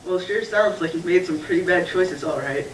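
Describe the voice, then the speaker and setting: Puppeteer as a gentle coaxing puppet friend, patient, echoey, distant. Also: medium pitch, a little fast, close to an everyday voice. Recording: echoey room picked up from afar, faint noise.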